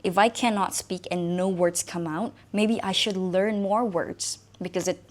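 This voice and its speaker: A woman in her thirties from Indonesia, whose first language is Indonesian, shares her own story to the camera as an English fluency coach. She sounds conversational and thoughtful, with plenty of casual fillers. Her voice sits at an ordinary, middle pitch. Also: fairly even intonation, unhurried. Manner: conversational, reflective, frequent "you know" and "like". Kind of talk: personal talk to camera.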